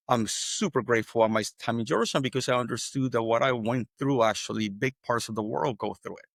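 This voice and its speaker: A man's voice: medium pitch, fairly bright tone, dry recording, clean studio sound. A man from El Salvador, a podcast guest, telling his story in an earnest, conversational way, often restarting words and tagging points with "right?".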